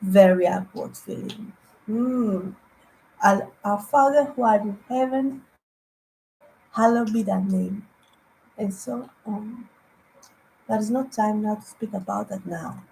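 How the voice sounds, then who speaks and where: A woman evangelist whose first language is Greek, preaching online in a halting way, repeating words and humming between thoughts. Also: medium pitch, vocal fry, warm tone, slight background noise, rough recording. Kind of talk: online sermon with anecdotes.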